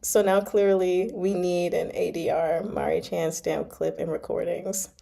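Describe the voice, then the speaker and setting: A woman hosting a read-along, reading a novel aloud and reacting in an informal, expressive, slangy way. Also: lowish voice, a little slow, gravelly. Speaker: host of a live book read-along.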